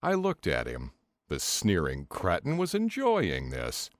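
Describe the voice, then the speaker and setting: A man's voice: low voice, unhurried, no echo. A man reading a comic novel as an audiobook in a theatrical, first-person dramatic style. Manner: theatrical, expressive delivery. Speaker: audiobook narrator.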